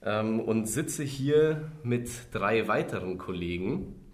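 A young man in his twenties with a fairly low voice leads the conversation. He talks in a friendly, steady presenter's way, casual and unhurried. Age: 20s. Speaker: podcast host. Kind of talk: casual podcast chat.

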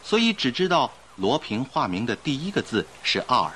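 A polished, knowing young gentleman in an old-fashioned radio drama. His medium-pitched voice moves expressively up and down over faint background noise. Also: sing-song, brisk. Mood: informative, grave. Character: suave, knowledgeable young gentleman storyteller.

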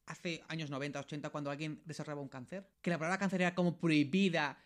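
A man's voice: fairly high pitch, fairly even intonation, fast talker. A man with an accent from Spain chatting casually as a podcast co-host, earnest and emphatic, often repeating words. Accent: Spanish from Spain. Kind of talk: casual conversational podcast talk.

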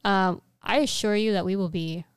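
A woman's voice: mid-range voice, fairly even intonation, moderate pace, smooth, dry recording, clean studio sound. A woman co-hosting a podcast in casual, bantering chat. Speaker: podcast co-host.

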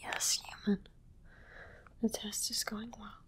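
A woman playing a calm alien examiner in an ASMR roleplay, whispering softly and slowly, with clinical reassurance. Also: mid-range voice, natural voice. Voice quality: breathy whisper. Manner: soft, unhurried, repetitive, clinically reassuring. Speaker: ASMR performer.

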